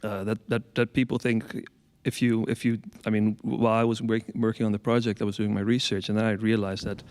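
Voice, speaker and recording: Low, flat-voiced man in a reverberant hall. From Caribbean. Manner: measured, many ums. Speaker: artist. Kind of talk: panel talk.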